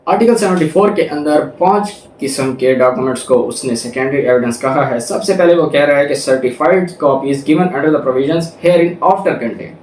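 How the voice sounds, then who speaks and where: A man's voice: on the high side, normal speed, hardly any pauses, darkish tone, a little hiss or hum. Pakistani guy teaching a class, reads then explains and repeats, kinda distant mic, roomy echo. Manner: didactic, repeats and rephrases points with examples. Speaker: law lecturer. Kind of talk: explanatory lecture, reading statute and explaining it. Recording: echoey room, distant microphone.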